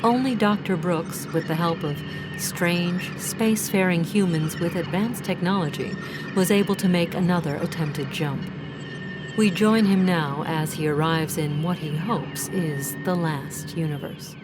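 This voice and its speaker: A woman narrator delivers a scripted audio drama recap. Her voice is low, and loud music plays underneath it. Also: unhurried.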